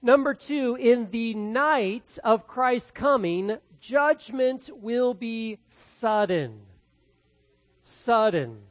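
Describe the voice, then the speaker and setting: A man from the Midwest with a Midwestern accent, preaching a verse-by-verse sermon as pastor, swinging between loud and quietly hushed delivery. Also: very high voice, animated, very slow, no echo. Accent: Midwestern American. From American Midwest. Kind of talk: expository sermon.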